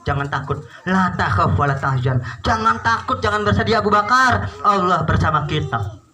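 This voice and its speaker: Man voicing a calm, reassuring prophet, lower-toned. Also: moderate pace. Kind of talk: children's religious storytelling.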